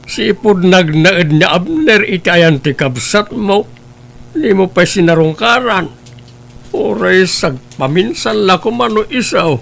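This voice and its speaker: Man acting a measured, gravelly old grandfather. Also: sits high, animated, fairly bright tone, full character voice. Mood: gently reproachful. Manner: measured, admonishing. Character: disappointed, gently reproachful elderly grandfather. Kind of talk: Ilocano audio drama acting.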